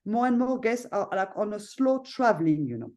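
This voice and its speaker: A woman's voice: mid-range voice, sing-song, normal speed, a little mellow. A middle-aged woman with a French accent, presenting her property on a video call in a chatty way, often adding "no?" and "you know". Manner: chatty, frequent fillers and tag questions. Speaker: hotel owner presenting.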